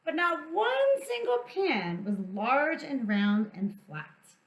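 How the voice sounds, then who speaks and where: Lady storytime teacher reading to little kids, real high voice, takes her time, stretches out words, echoey. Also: huge pitch swings. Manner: theatrical, deliberate, drawn-out emphasis on words. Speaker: children's storytime teacher and host. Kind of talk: storybook read-aloud for young children. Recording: echoey room sound.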